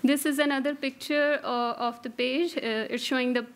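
A woman in her thirties presents at a conference podium, her slightly high voice held on a narrow, even line. She talks carefully, pausing often on fillers as she moves through her points. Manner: careful, hesitant delivery with many fillers. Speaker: registry coordinator presenting. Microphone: podium microphone.

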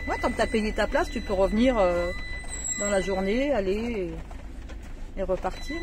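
A woman's voice: mid-range voice, lively intonation, normal speed, noisy background. A middle-aged woman chatting casually and practically inside a camper van. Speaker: travel companion and co-vlogger.

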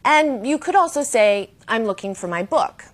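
A woman hosting a language lesson with scripted, didactic delivery, slowing down to model key phrases. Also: a little high, lively intonation. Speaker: host and language teacher. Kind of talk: scripted educational presenting.

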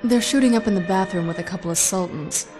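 An actress playing a cocky half-vampire huntress in a video game, with a sultry, sarcastic, flippant manner. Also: female voice, mid-range voice, fast talker, natural voice. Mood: sardonic, flirtatious. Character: sardonic, cocky half-vampire woman hunter. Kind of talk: video game cutscene acting. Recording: game audio with music behind.

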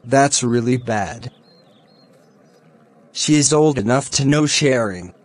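A man playing a kind, helpful young man in a children's cartoon, speaking in simple, measured scripted lines. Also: mid-range voice, natural voice. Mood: helpful, concerned.

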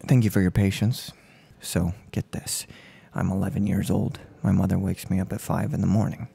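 Man acting creaky-voiced, hushed serial killer. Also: low-pitched, level tone, exaggerated voice. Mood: brooding, measured. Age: 20s. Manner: hushed, menacing, mock-polite, deliberate. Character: soft-spoken unhinged serial killer addressing his captive. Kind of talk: ASMR role play monologue. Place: room at home. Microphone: close desk microphone on boom arm.

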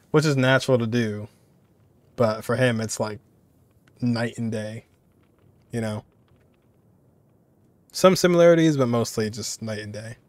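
A man in his twenties speaks as a reaction video host, recorded dry and close. His commentary is casual and conversational, in a middle-pitched voice. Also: darkish tone, dry recording. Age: 20s.

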